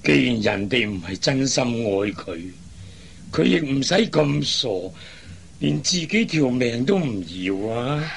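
An actor playing a worried middle-aged father in a Cantonese radio drama, sighing and speaking with drawn-out emotion. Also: medium pitch, lively intonation, gravelly, crowd noise. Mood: dismayed. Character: worried, exasperated father of grown daughters.

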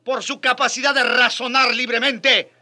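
An actor playing an old, passionate Spanish friar in a radio drama, arguing with indignant, emphatic oratory. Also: male voice, very high-pitched, little pitch movement, moderate pace, sharp tone, exaggerated voice. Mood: impassioned. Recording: music behind the voice.

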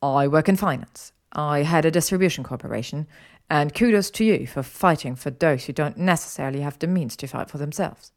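Lady reader doing a cool suave young wizard guy, lowish voice, smooth and polite, storybook. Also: brisk. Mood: admiring, cool.

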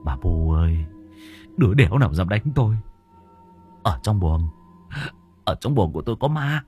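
A man voicing a drunk, shaken young man in a spoken horror story, stammering and rough. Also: very deep voice, brisk, a little mellow, lo-fi sound, faint music behind, pitched below the performer's own voice. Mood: panicked.

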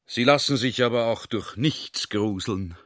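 A man's voice: mid-range voice, measured pace, fairly bright tone, no room sound, natural voice. Man acting a middle-aged general, gallant, formal, cautious, radio play, close dry sound. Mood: cautious, polite. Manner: formal, gallant, old-fashioned courtesy.

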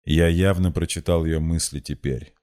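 A gloomy seer from an audiobook tale, played by a male narrator, grim and blunt. The voice is low, dark and matter-of-fact. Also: steady tone, normal speed, natural voice. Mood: accusing. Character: grim, blunt man who reads minds.

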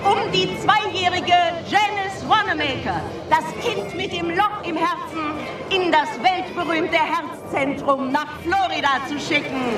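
An actress playing a sentimental female news presenter, gushing in a syrupy, theatrical way. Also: very high voice. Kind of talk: gushing broadcast announcement. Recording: echoey, distant broadcast with loud music, crowd.

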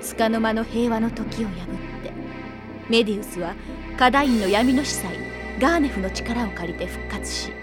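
A woman's voice: fairly high pitch, normal speed. A woman giving formal, solemn scripted narration for a fantasy radio drama. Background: loud music.

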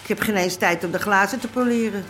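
A woman's voice: mid-range voice, quick pace, fairly bright tone, soft background music, close to an everyday voice. A woman playing a grumbling, no-nonsense middle-aged working grandmother in a radio drama, dry and plain-spoken. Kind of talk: naturalistic radio drama acting.

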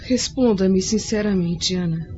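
A woman's voice: mid-range voice, measured pace, mellow, lightly acted. An actress playing a frail, blind, melancholy Roman noblewoman in a radio drama, wistful and earnest. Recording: dull lo-fi recording, music behind.

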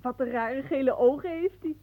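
An actress playing a polite young woman who gently humours her aunt in a Dutch radio play. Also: sits high, moderate pace, dry recording, close to an everyday voice. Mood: curious. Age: young adult. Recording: muffled phone-like old radio recording.

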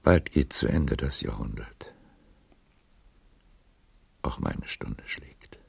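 An actor playing a weary, resigned man over seventy in a literary radio play, speaking haltingly and poetically with a worn voice. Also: sing-song, dry recording, full character voice. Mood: resigned, melancholy. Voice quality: very deep, gravelly, worn voice. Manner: slow, halting, poetic delivery.

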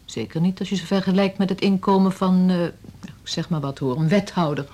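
An actress playing a wary music school representative in a radio drama, guarded, dry and sardonic. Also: female voice, fairly low pitch, brisk, mellow, slight background noise, lightly acted. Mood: defensive. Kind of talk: Dutch radio drama dialogue.

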